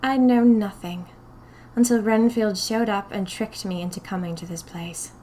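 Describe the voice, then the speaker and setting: A woman's voice: medium pitch, normal speed, warm tone, slight background noise, lightly acted. A woman voicing a timid, frightened young woman drawn into a magical society, questioning uneasily in first-person audio fiction. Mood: bewildered.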